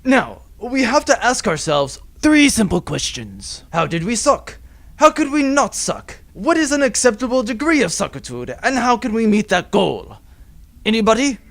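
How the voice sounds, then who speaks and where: A man's voice: extremely high pitch, sing-song, moderate pace, creaky, fairly bright tone. A man narrating a comedy space-pirate audio drama in a grandiose, theatrical style. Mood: exasperated.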